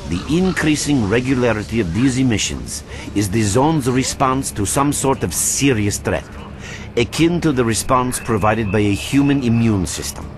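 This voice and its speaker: Man acting as an animated scholarly scientist. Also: on the low side, noisy background, natural voice. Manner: explanatory, measured, scholarly. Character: male scientist briefing a survivor, thoughtful and measured. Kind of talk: scripted video game dialogue.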